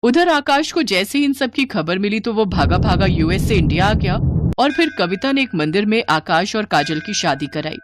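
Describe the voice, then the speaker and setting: A woman's voice: mid-range voice, animated, normal speed, noisy background. A woman narrating a scripted moral story as a storyteller.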